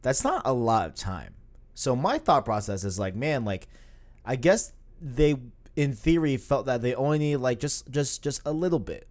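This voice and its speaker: A man in his early twenties chats casually on a gaming podcast. His pitch swings up and down as he rambles, full of filler phrases and restarts. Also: very animated, moderate pace, darkish tone. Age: 20s. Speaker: podcast co-host.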